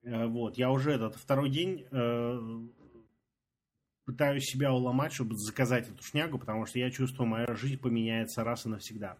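A man's voice: mid-range voice, fairly even intonation, moderate pace. A man co-hosting a casual podcast, laughing easily and restarting his sentences. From Russian-speaking immigrant in America. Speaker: podcast co-host. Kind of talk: casual chat.

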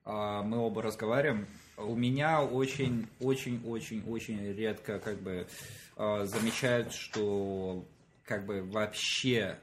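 Guy with a faint russian accent, umming his way through, some low noise behind. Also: fairly even intonation, slow pace. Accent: Russian, light. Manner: hesitant, explaining with many fillers. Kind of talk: casual chat.

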